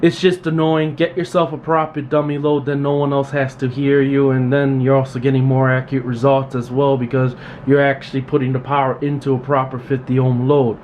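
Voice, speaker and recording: Male repair-tech host, mid-pitched, informal and plain-spoken, hammering home practical advice over faint background noise. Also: fairly quick, hardly any pauses. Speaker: radio repair technician hosting a tool tutorial. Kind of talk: informal unscripted instructional talk. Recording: steady background noise, room ambience.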